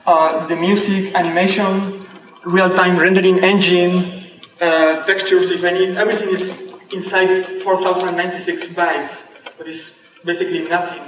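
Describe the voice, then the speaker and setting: A young man with a Spanish accent gives a technical conference talk on stage, speaking carefully with many fillers and pauses. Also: high voice, flat delivery, fairly bright tone. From Spain. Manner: halting, careful delivery with frequent fillers. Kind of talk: technical presentation. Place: large dark auditorium stage. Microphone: far camera. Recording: echoey hall from far off, dull lo-fi.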